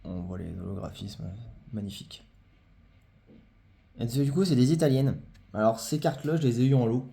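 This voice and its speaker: A man's voice: mid-range voice, normal speed, gravelly, long pauses, warm tone. A man casually showing his card collection, talking hesitantly with many fillers and repeated phrases. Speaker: collector presenting his trading cards. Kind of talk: casual show-and-tell vlog.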